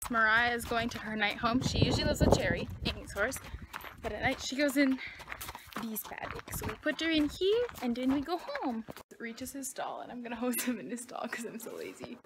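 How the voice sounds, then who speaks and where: A woman's voice: fairly high pitch, expressive, a little fast, noisy background. A young woman vlogging to her phone camera at a horse barn and in her car, chatty and gushing, with plenty of "like". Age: young adult. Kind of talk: casual vlog talk to camera. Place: barn, a parked car and outdoors at a farm. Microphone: phone held at arm's length.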